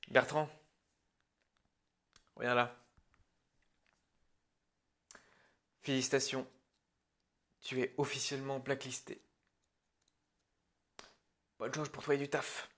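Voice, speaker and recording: A man's voice: mid-range voice, little pitch movement, slow pace, pauses often, fairly bright tone. A man playing an irritable, bossy film director in an improvised parody roleplay, alternating hesitant muttering with curt, sarcastic orders. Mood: sarcastic, dismissive. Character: irritable, bossy male film director running a prep meeting.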